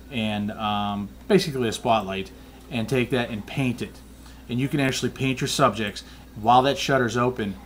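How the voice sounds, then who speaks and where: A man casually explaining night photography in a flat, monotone way, rambling with many fillers and restarts. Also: lowish voice, a little hiss or hum. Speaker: photography vlogger.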